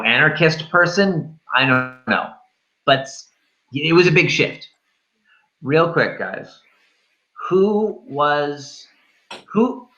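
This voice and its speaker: A man's voice: mid-range voice, pushed and bright, highs cut off. A man in a casual film discussion, rambling and thinking aloud as he reviews. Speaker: host of a film review show.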